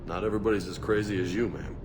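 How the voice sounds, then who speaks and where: Deep-voiced, easygoing young soldier played by a man. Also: steady tone, rapid-fire, music in the background, natural voice. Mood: easygoing, casual. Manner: casual, slangy. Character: burly easygoing young male soldier, casual and teasing. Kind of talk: video game dialogue. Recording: rumbling noise behind the voice.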